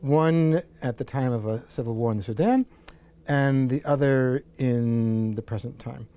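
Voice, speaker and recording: A man, an audiobook director, talking in a measured, explanatory way in an interview. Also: medium pitch, warm tone, smooth. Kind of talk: unscripted behind-the-scenes interview.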